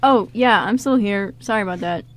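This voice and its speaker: A woman's voice: mid-range voice, moderate pace, lightly acted. A woman playing an insecure, earnest young man in an amateur radio drama. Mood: subdued, caring. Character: insecure, guilt-ridden young man, a loyal buddy.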